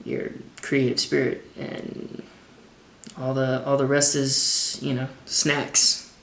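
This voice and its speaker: An American man in his thirties talking casually and unscripted, thinking through his answers as a drummer with frequent halting pauses, recorded indoors at a table. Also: mid-range voice, level tone, gravelly, fairly bright tone. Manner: halting, thoughtful, pausing to formulate answers. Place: indoors, open kitchen-dining room at a table. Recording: echoey room.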